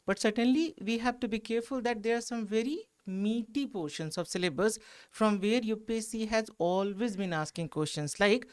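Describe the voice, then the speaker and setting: A man's voice: high-pitched, normal speed, clear, resonant tone. A man with an Indian accent giving an explanatory, emphatic exam analysis as a teacher. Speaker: history teacher at exam coaching institute.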